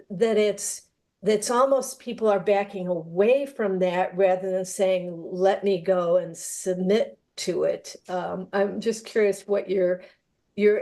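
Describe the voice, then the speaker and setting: A woman's voice: medium pitch, dark tone. A woman moderating a panel conversation, choosing her words carefully and somewhat tentatively as she frames her questions. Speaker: moderator, theater maker. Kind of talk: panel discussion, posing a question.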